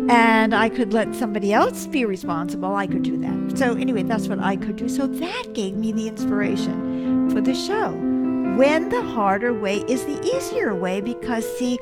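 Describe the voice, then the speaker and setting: A woman in her seventies hosting a show, talking to viewers in a rambling, self-mocking way full of joking asides. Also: a little high. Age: 70s. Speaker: host. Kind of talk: casual monologue to viewers. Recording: loud music playing behind.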